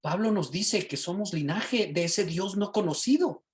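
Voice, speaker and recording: A man, a pastor and teacher, teaching a class over a video call in a lively, sermon-like way, often asking his listeners rhetorical questions. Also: sits high, moderate pace. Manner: animated, rhetorical questions, asks the class directly.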